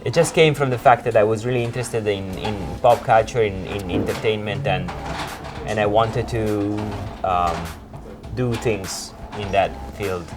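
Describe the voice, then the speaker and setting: A man in his forties from Italy with an Italian accent, a creative director recounting his career in an informal interview in hesitant, halting phrases. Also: lowish voice, over music. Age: 40s. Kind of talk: informal interview, telling own career story.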